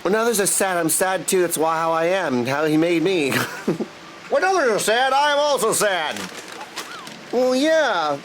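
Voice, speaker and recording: A man's voice: high-pitched, expressive, measured pace, fairly bright tone, a little hiss or hum, poor audio. A man chatting casually and goofily with viewers in slangy language while livestreaming a game. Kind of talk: casual livestream chat over gameplay. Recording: music behind the voice. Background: game music.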